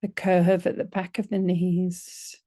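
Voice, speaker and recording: Very slow, low-voiced woman guiding relaxation. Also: flat delivery, dry recording, studio quality. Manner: gentle, unhurried, soothing, with long pauses. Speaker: yoga nidra and meditation teacher. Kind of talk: guided relaxation meditation.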